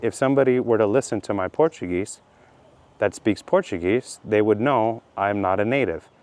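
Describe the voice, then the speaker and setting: Man, middle-aged, Californian accent, lowish voice, patient slow lesson delivery. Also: darkish tone. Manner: slow, deliberate, repeats key points. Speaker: language teacher. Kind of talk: slow explanatory monologue for learners.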